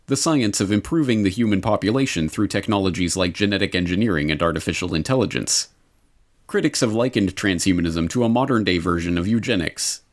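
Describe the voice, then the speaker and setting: A man reading scripted documentary narration in a measured, formal manner. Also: low-pitched.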